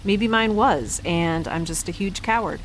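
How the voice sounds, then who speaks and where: A woman playing a guilt-ridden young scientist keeping an audio log in a halting, reflective, self-questioning way, as in an audio drama. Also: mid-range voice, a little hiss or hum, lightly acted, rough recording. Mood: bitter, defensive. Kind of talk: audio drama monologue, introspective.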